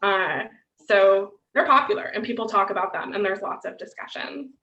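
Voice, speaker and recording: Bright-voiced woman lecturing over a video call. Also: mid-range voice, normal speed. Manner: conversational, frequent "um" and "right?" tags. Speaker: guest lecturer. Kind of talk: online lecture with Q&A. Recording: distant, roomy, dull video-call audio.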